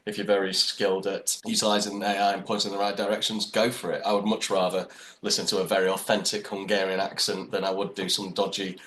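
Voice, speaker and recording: A man's voice: deep voice, flat delivery, fast talker, fairly bright tone, slight background noise, rough recording. A man co-hosting a podcast, talking casually and rambling with the odd laugh. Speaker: podcast co-host. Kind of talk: casual chat.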